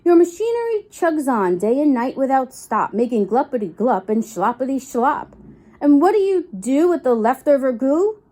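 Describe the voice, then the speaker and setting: A woman's voice: sits high, big pitch swings, normal speed, dark tone. A woman voicing a grumpy old storybook creature who scolds indignantly and snappishly in emphatic rhyme. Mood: accusing. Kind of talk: children's storybook character read aloud.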